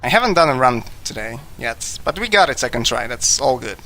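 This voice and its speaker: A man's voice: medium pitch, fairly quick. Czech man narrating a speedrun. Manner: relaxed, explanatory, frequent fillers. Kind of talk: live speedrun commentary.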